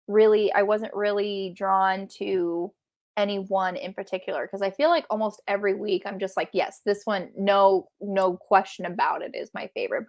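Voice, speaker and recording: A woman's voice: medium pitch, a little mellow. A woman co-hosting a book livestream, chatting casually with a playful, easily amused manner. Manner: laughs readily, playful. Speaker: co-host of a book discussion livestream. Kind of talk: casual chat.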